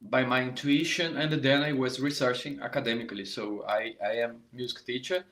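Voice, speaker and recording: A Brazilian-accented man, middle-aged, talks straight to his online viewers in a voice of ordinary middle pitch. He is a saxophone teacher, halting and repeating words as he goes, with Portuguese as his first language. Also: steady tone, fairly bright tone. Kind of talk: live online masterclass talk.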